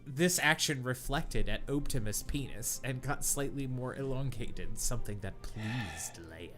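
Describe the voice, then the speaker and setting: A man's voice: medium pitch, lively intonation, a little slow, fairly bright tone, faint music behind. A young man hosting a comedy podcast, reading a bad story aloud with mock earnestness and sarcastic asides. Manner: reads with mock earnestness, breaks into laughter.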